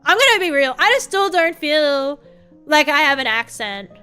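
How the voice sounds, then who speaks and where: Bright high-voiced Australian woman rambling. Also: sing-song. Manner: rambling, swears often, quick laughs. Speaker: vtuber streamer. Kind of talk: casual chat to viewers. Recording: faint music behind.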